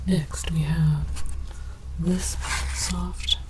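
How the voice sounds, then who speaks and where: A woman whispering breathily in a hushed, mock-scholarly ASMR roleplay as a calm, curious alien archaeologist presenting objects. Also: low voice, extremely slow, background noise. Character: calm, curious alien archaeologist researcher studying human relics.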